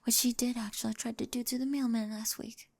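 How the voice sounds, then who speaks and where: A quirky, apologetic girlfriend, played by a woman with a high voice. She talks casually and reassuringly, wry and fondly exasperated, in an intimate ASMR roleplay. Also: steady tone, fairly quick, gravelly. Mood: embarrassed.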